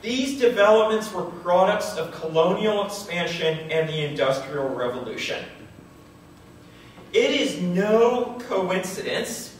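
A man's voice: high voice, recorded from a distance. Echoey man giving a formal lecture. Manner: formal, deliberate phrasing. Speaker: history professor. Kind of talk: scripted academic lecture with brief asides. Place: large indoor auditorium, at a podium on stage. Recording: echoey hall from afar, faint noise.

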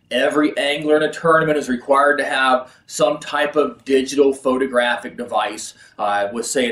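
A middle-aged man hosting a fishing video, explaining things to camera in a casual, earnest way, with frequent restarts and fillers. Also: medium pitch. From Pennsylvania, USA. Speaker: kayak fishing video host. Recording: roomy, slightly distant sound.

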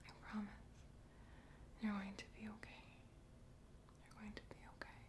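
A woman in her twenties speaking in a soft, breathy whisper, tender and comforting, close to the mic in an ASMR roleplay. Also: medium pitch, monotone, normal speed. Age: 20s. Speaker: ASMR creator. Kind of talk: soft-spoken ASMR personal attention roleplay. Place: small quiet room indoors. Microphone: close to the mouth.

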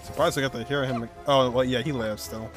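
Clear game music plays behind a young man reacting as a streamer. His voice is mid-pitched, and his commentary is casual and slangy, delivered in short, laid-back remarks. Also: fairly even intonation, fairly quick. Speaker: gaming streamer. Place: indoor room at a streaming setup. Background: video game music.

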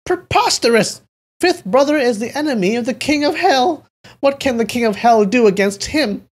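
A narrator voicing an excitable, indignant martial-arts brother in an audiobook. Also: mid-range voice, normal speed, pitched above the performer's own voice, natural voice. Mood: excited.

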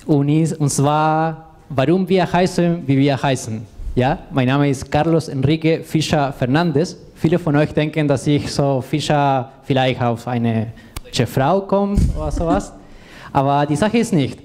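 Mellow man with a Chilean Spanish accent. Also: on the high side, measured pace. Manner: non-native, simple direct phrasing. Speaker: co-presenter, exercise coach. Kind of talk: informal stage talk with guided exercise. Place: indoor event room with seated audience. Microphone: handheld microphone.

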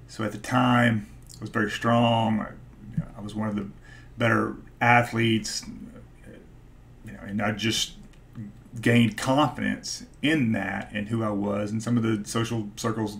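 A man in his forties with a stutter, talking casually to camera in a halting way, searching for his words. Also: on the low side, little pitch movement, gravelly. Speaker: YouTube host, stock investor. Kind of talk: casual vlog talk to camera. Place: small home office, indoors.

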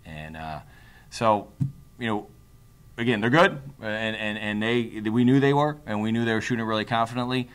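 A middle-aged man, a basketball coach, answering press conference questions in a measured, halting, analytical way. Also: lowish voice, fairly bright tone, clean tone. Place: indoor press conference room, seated at a table. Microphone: tabletop microphone.

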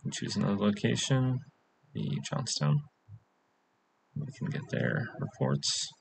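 A man's voice: fairly low pitch, big pitch swings, measured pace, creaky, slight background noise, poor audio. A young adult man, a coding student, casually walking through his web app on a screen recording with lots of "um" fillers. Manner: casual, many "um" fillers, plain walkthrough. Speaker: coding bootcamp student presenting a project. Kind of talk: screen-recorded project demo.

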